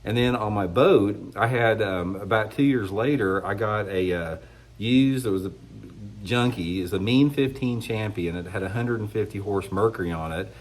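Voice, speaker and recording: Midwestern man, middle-aged, congested, fairly low voice; casual blunt talk to camera with frequent restarts. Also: normal speed, slight background noise. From United States. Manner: rambling, blunt, restarts often. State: congested from a cold. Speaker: fishing channel host. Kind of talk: casual opinion monologue to camera.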